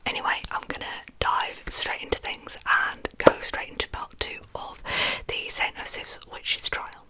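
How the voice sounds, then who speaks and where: A woman with a lisp whispers softly and breathily as an ASMR host, telling true crime stories in an informal, chatty way.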